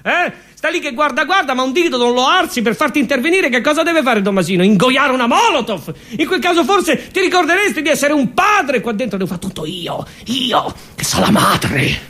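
A man's voice: very high voice, sing-song, brisk, few pauses, sharp tone, exaggerated voice. A man playing an exasperated, nagging Roman mother who stutters and repeats bossy orders at a small son, in a comic stage monologue. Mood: bitter, reproachful.

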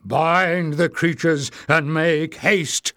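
A man's voice: on the high side, steady tone, drawn-out words, sharp tone, no room sound, clean studio sound, voice pushed up, exaggerated voice. A man voicing a wrinkled old male goblin official who gives stern, scolding orders. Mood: imperious.

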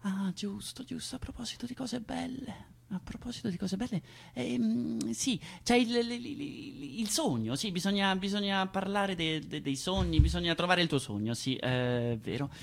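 A man's voice: high-pitched, expressive, moderate pace, creaky, heavily acted. A man playing a doting, easily amazed companion to a child in theatrical radio storytelling, with stammered repetitions and coaxing exclamations. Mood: hushed, thoughtful. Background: music, plucked guitar.

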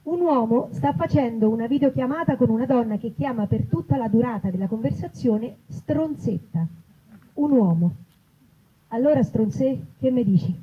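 A woman's voice: a little high, animated, normal speed, husky. A woman, a writer and actress reading comic vignettes from her own book to a live event. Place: live festival stage, outdoors in an olive grove. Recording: dull, noisy live event recording.

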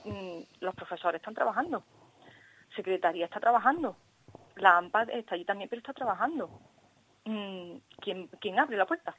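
Female phone interviewee, average pitch, earnest school parent, insistent and repetitive, unscripted delivery. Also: lively intonation, normal speed, a bit bright, husky, rough recording. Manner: earnest, insistent, repeats herself.

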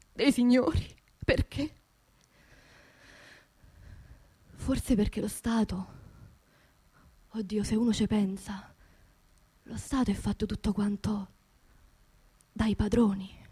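A woman's voice: on the high side, mellow, breathy edge. An actress playing an angry, grieving working-class Roman woman in a theatrical radio monologue, colloquial and bitter, demanding justice. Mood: bitter, hushed. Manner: impassioned, colloquial, bitter rhetorical questions.

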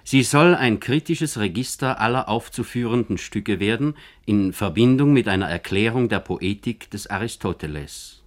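A man narrating a scripted biographical radio feature in German in a formal, measured manner. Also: lowish voice, expressive.

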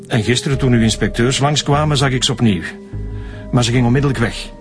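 An actor with a Flemish accent playing a nervous, evasive church sexton in a radio drama, speaking defensively. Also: male voice, medium pitch, fast talker, over music, close to an everyday voice. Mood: reluctant, uneasy. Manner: defensive, hedging.

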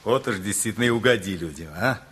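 A man's voice: on the low side, fast, a bit bright, slightly rough. A man acting a genial, wry family peacemaker in a radio play. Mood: wry musing.

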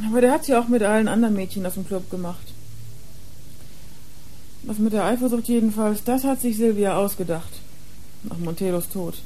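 A woman's voice: medium pitch, fairly quick, long pauses, warm tone, lightly acted, low-quality recording. An actress playing a streetwise nineteen-year-old club girl turned informant in a radio crime drama, slangy and blunt. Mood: blunt, matter-of-fact.

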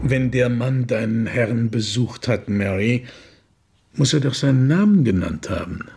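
An actor playing a polite, calm gentleman in a radio drama, speaking in a measured, courteous way. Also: medium pitch, gravelly, slightly rough, heavily acted. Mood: calm, persuasive. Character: polite, calm gentleman helping a police inquiry.

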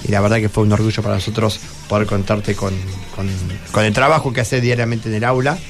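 A man's voice: on the low side, level tone, fast talker, faint music behind. A man with a Rioplatense accent hosting a radio programme off the cuff, often repeating words as he goes. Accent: Rioplatense Argentine.

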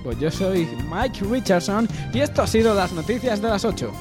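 A man's voice: high voice, lively intonation, moderate pace, over loud music, voice pushed up. A man playing a formal, self-important news anchorman in a parody radio bulletin. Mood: mock-formal. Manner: formal, announcer-like.

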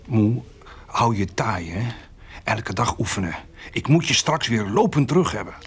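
A farmer's son in a radio play. His pitch moves freely, and he is plain-spoken, protective and stubborn. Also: lively intonation, normal speed, slightly rough. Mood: caring, stubborn. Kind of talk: Dutch radio drama acting.

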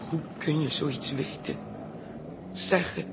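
A tormented young man in a vintage radio serial, high-pitched and slow. He speaks haltingly, wracked with guilt and desperation, repeating his words. The old recording is clearly noisy. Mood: bewildered, searching. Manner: halting, agonised, repeating himself. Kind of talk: 1960s Dutch radio drama acting.

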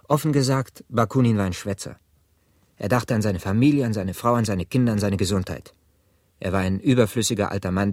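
An actor playing a cold, contemptuous young Russian revolutionary in a radio drama, with a husky voice and scornful emphasis. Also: male voice, lowish voice, darkish tone, no echo, clean studio sound. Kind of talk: 1970s German radio drama acting.

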